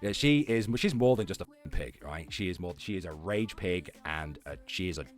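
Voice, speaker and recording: A man's voice: deep voice, animated, brisk, faint music behind. A man hosting a casual livestream, giving profane, irreverent running commentary and rants. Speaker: livestream host.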